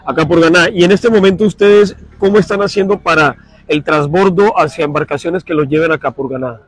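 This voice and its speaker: A man's voice: fairly high pitch, no room sound. Formal male field reporter, outdoors. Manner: formal, measured reporter delivery. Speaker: radio reporter. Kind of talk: live field report and street interviews. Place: outdoors at a crowded tourist dock. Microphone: handheld mic held close.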